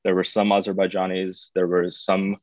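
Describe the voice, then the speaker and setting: A man, talking as an interview guest in an unhurried way with frequent filler sounds. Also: deep voice, completely flat delivery, darkish tone, no room sound. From born in Baku, raised in the United States. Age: 30s. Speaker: podcast guest. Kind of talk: casual interview. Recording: dull, dry sound.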